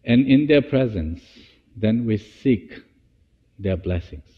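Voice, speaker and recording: A man with a fairly low, slightly husky voice guides a meditation. He speaks in short, halting phrases, feeling his way through each thought. The sound has a long hall-like reverb and is a little dull. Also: lively intonation. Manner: halting, phrase-by-phrase delivery with restarts. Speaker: meditation teacher.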